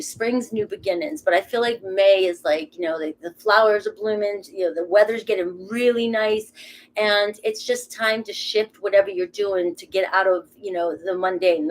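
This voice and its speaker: A woman's voice: medium pitch, low-quality recording. Chatty Boston woman reading tarot. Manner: chatty, rambling, swears casually. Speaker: tarot reader. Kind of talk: casual tarot reading to camera.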